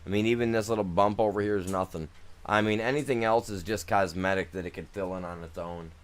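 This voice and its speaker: A man's voice: lowish voice, fairly even intonation, fast. A young man streaming a farming game, chatting casually with his viewers and rambling as he plays, often trailing off and starting sentences over. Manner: laid-back, rambling, talks to chat, trails off and restarts. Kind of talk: casual live gameplay chat.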